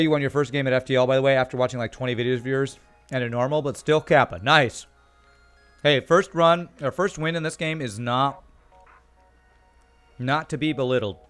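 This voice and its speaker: A male streamer with a calm, soothing voice that has gone a little tired after a long session. He chats easily over the game, reading comments aloud, with faint game music playing softly behind him. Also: mid-range voice, clean tone. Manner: chatty, reads chat aloud, laughs often. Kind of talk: casual live-stream commentary.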